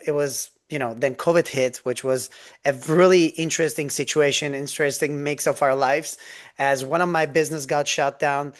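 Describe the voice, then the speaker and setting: A man's voice: on the high side, fairly even intonation, normal speed. Eager man telling his story. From Turkey. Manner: earnest, eager storytelling with restarts. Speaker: podcast guest, business founder. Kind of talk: interview storytelling.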